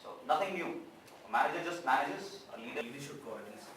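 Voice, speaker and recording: Student guy answering from the crowd, pauses a lot, far off mic, hiss in the background. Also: medium pitch, lively intonation. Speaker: student in the audience. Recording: echoey hall, distant mic, clear noise.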